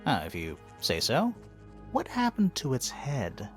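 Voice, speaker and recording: An actor voicing a level-headed, skeptical male detective in a video game, measured and dryly amused. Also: medium pitch, wildly swooping pitch, faint music behind.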